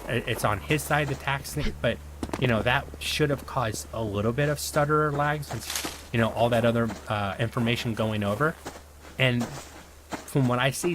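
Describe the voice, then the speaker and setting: A man giving rambling, chatty gameplay commentary to his viewers, his voice worn and going hoarse. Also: mid-range voice, background noise. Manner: rambling, chatty, talks to viewers while playing. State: losing his voice. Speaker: gaming video host.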